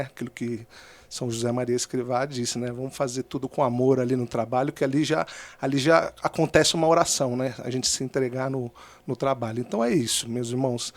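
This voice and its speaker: A Brazilian man in his thirties, a podcast guest, introducing himself in a relaxed conversational way, often tagging "né" and restarting phrases. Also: mid-range voice, gravelly. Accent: Brazilian Portuguese, mild Minas Gerais. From Minas Gerais, Brazil. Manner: conversational, frequent "né" tags and restarts. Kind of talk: casual testimony.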